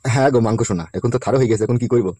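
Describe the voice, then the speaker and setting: Man voicing a fast-talking, bossy politician. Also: mid-range voice, dark tone, no echo, clean studio sound, close to an everyday voice. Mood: sweet-talking, flirtatious. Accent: West Bengal Bengali. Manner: crude, brash, smooth-talking. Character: scheming, lecherous, bossy male politician. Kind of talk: comedy cartoon voice acting.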